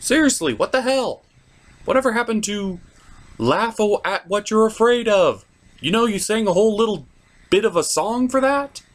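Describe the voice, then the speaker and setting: A man delivers scripted comedy review narration with a very lively, swooping pitch. His tone is sarcastic and exasperated as he hosts. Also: normal speed. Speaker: host of a comedic cartoon review show.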